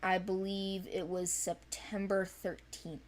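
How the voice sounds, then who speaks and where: A giggly, animated woman rambling casually as a co-creator talking about original characters. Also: medium pitch, slow, crowd noise. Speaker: creator of an animated series project.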